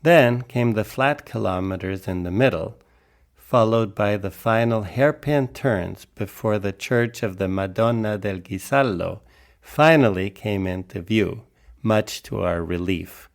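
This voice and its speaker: A man's voice: fairly low pitch, measured pace, vocal fry. A man from Spain hosting a travel podcast, reading scripted narration about his journey.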